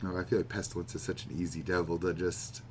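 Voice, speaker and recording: Male podcast co-host, low voice, casual and opinionated, light background noise. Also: level tone, fast talker. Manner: opinionated, musing, swears freely. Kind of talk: casual chat about manga.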